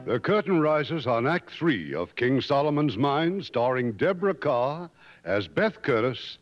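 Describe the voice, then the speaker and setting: A man's voice: medium pitch, big pitch swings, measured pace, soft background music. A man hosting a 1950s radio show with scripted promotional chat, in a courtly, effusive showman's manner. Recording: dull vintage 1950s radio broadcast.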